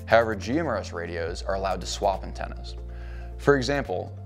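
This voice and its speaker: A man in his thirties giving a measured, scripted explanation indoors in a cabin-style studio. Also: on the low side. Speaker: co-founder explaining radio range. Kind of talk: scripted educational narration.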